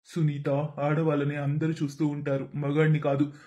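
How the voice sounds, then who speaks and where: Casting: male performer as humble, affectionate young father, slightly raised pitch, animated moral tale. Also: normal speed, lightly acted. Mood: fond. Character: poor, kind, hardworking husband and father. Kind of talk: animated moral story voice acting.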